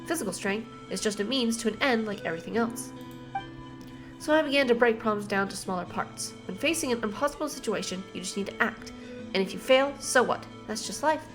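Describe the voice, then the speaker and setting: A woman acts out an encouraging young athlete from a fantasy game. Her fairly high voice is steady and earnest, over music. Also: fairly quick, close to an everyday voice. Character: confident, encouraging young female athletic trainer.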